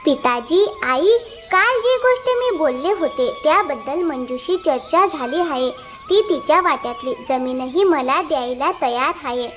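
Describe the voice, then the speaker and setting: Woman voicing a high-pitched pleading elder sister. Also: lively intonation, measured pace, a bit bright, soft background music. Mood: pleased. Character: scheming, pleading elder married sister in a village. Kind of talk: animated moral story dialogue.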